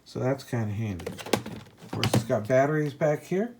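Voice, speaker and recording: A man presenting a casual, unscripted product review as a hobbyist, speaking in a hesitant, halting way. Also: mid-range voice, expressive, heavy background noise.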